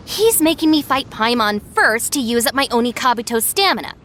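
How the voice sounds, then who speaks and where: A no-nonsense young second-in-command in a video game, a little high in pitch. She admonishes dryly with expressive rises and falls, over a faint low rumble. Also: fairly quick. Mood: exasperated, confident. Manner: matter-of-fact, admonishing. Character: sensible, stern young female deputy, dry and exasperated. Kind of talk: animated video game voice acting.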